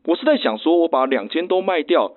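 Tinny phone voice, clumsy eager guy courting in a radio play, stammery, pitch all over. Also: very animated, brisk. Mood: eager, fumbling. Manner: fumbling, stammering, bashful chuckles. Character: awkward, eager, clumsy practical male suitor. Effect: telephone filter. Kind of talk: radio drama acting. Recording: thin, phone-like band-limited sound.

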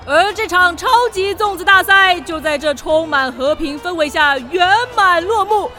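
A theatrical emcee for a children's radio play. The voice is very high and forceful, excitable and showy, with music and a faint crowd underneath. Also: moderate pace. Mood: showy, upbeat. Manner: showy, exclamatory announcing. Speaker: contest announcer. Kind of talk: children's radio drama acting.

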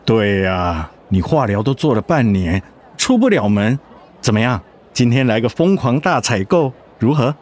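A man's voice: medium pitch, very animated, normal speed, darkish tone, lightly acted. An actor playing a cheerful, doting middle-aged husband and father in a radio drama, playful and encouraging. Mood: upbeat, encouraging.

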